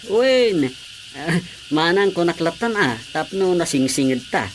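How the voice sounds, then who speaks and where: A man's voice: a little high, expressive, slow, a little hiss or hum. A man acting as a cheeky, eager, teasing visiting cousin in a comedy radio drama.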